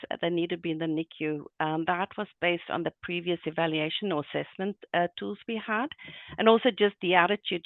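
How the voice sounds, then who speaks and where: South african guy, nurse, kinda high gravelly voice, explaining stuff earnestly. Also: no room sound. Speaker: neonatal nurse and guest presenter. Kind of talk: remote interview. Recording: tinny phone-like dry call audio.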